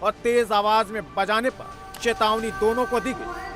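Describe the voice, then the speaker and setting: A man's voice: sits high, steady tone, unhurried, music in the background. A man narrating a television news report from a script in an emphatic, dramatic, rhetorical style.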